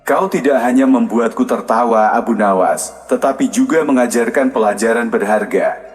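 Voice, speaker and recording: A man's voice: mid-range voice, normal speed, natural voice. Man voicing an amused storybook king in reverb. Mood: appreciative. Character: amused, appreciative male king. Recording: long reverb, music behind.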